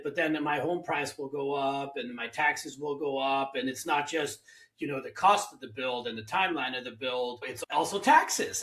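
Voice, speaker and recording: A man hosts a casual interview and shares his views at length. His voice is bright and forceful. The room around him is echoey. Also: fairly high pitch, recorded from a distance. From Hungary. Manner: opinionated, talks at length. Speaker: host.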